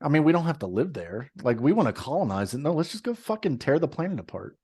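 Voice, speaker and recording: A man's voice: a little high, sing-song, fast talker, mellow, slightly rough. Ranting, profane man on a podcast. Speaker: podcast co-host. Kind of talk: casual chat.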